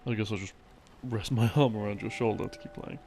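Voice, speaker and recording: A man playing an affectionate, laid-back gamer boyfriend in an intimate ASMR roleplay, rambling softly and hesitantly to soothe his partner to sleep. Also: on the low side, fairly even intonation, gravelly. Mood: affectionate, cosy. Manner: soft-spoken, hesitant, teasing, with small chuckles and long pauses. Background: background game music.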